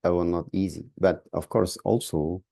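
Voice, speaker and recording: A man from Sudan with a Sudanese accent, answering as a podcast guest in a halting way, repeating words as he searches for phrasing. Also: low voice, steady tone, creaky, dark tone, no echo, clean studio sound. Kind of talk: interview answers.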